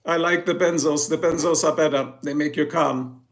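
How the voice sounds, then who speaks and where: Male streamer, German accent, high-pitched voice, jokey and rambling, laughs a lot. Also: fairly even intonation, normal speed, vocal fry. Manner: joking, rambling, laughs often. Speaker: livestream host. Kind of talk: casual livestream chat with viewers.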